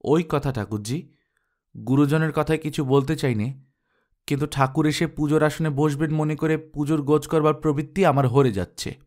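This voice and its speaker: A man's voice: on the high side, fairly even intonation, moderate pace, darkish tone, close to an everyday voice. A man voicing a wounded, strong-willed young daughter-in-law in a story reading, speaking bluntly and resolutely. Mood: defiant, resolute.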